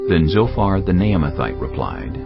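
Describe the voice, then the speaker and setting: A man with a very deep, gravelly voice giving scripted scripture narration. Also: very animated, normal speed. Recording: slightly dull, music underneath.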